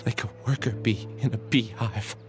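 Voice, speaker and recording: A man's voice: lowish voice, steady tone, normal speed, natural voice. A man playing a troubled young scholar in a video game, with music behind his voice. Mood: pained, dazed.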